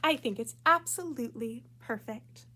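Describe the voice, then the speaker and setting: A woman's voice: on the high side, normal speed, pushed and bright. A woman voicing a kind, dreamy and theatrical music teacher from a children's storybook, with emphatic delivery. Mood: proud, warm. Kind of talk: children's storybook read-aloud.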